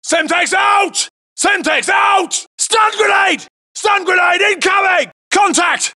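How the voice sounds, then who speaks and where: An actor playing a tough British SAS soldier in a military shooter game, yelling urgent, clipped battle callouts. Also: male voice, extremely high pitch, slow, a faint crowd, full character voice. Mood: urgent, shouting.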